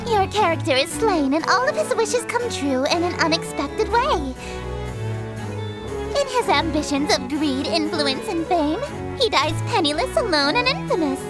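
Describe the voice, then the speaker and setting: A woman's voice: very high-pitched, big pitch swings, normal speed, loud music behind the voice. A woman voicing a haughty, theatrical eldritch goddess girl in a game, coy and menacing with pompous, archaic flourishes. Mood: smug, amused. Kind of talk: scripted visual novel voice acting.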